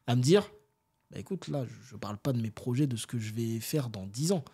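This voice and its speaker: A man from France, an interview guest telling stories in casual, animated, slangy talk and often seeking agreement. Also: medium pitch, brisk, vocal fry, slightly rough. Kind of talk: casual conversational storytelling.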